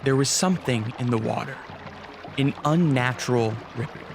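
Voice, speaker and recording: A man narrating a scripted radio drama in a measured, theatrical storytelling manner. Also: medium pitch, background noise. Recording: clear music behind.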